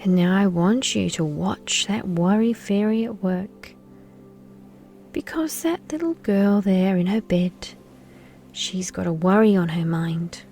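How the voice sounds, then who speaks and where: Woman doing a bedtime sleep meditation for kids, really slow n soothing, soft breathy almost whispery voice, mellow tone. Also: mid-range voice, lively intonation. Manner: soothing, gentle, lulling delivery with long pauses. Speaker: hypnotherapist guiding a children's sleep meditation. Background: soft background music.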